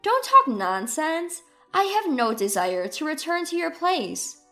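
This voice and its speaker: A woman's voice: high-pitched, big pitch swings, unhurried, sharp tone, soft background music, heavily acted. A woman playing a scornful, newly independent ex-wife in a story, mocking and cutting. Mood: indignant. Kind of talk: dramatized storytelling dialogue.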